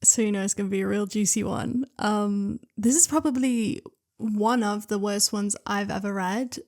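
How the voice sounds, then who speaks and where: A young woman hosting her channel, reading online stories aloud with chatty, excitable commentary and asides. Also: medium pitch, normal speed. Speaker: reader and channel host.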